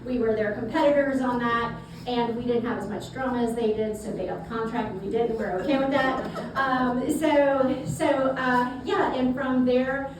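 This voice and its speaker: A middle-aged woman with a South Carolina accent, speaking as a guest at a networking event in a folksy, chatty way. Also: on the high side. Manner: folksy, chatty, repeats words. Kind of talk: networking talk to a live audience. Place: event space. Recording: echoey room from far off, noisy.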